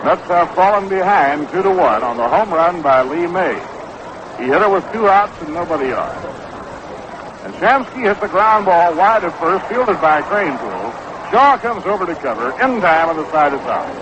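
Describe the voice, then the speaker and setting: American baseball announcer on noisy old radio. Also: male voice, on the high side, lively intonation, moderate pace. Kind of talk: 1960s radio sports commentary. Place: stadium broadcast booth. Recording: dull, noisy 1960s AM radio broadcast.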